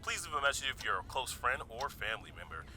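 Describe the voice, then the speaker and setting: A man's voice: mid-range voice, sing-song, quick pace, bright, forceful tone, soft background music. A man voicing a boastful, proud father recording a voicemail greeting in a fan-made anime roleplay. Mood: proud, promotional.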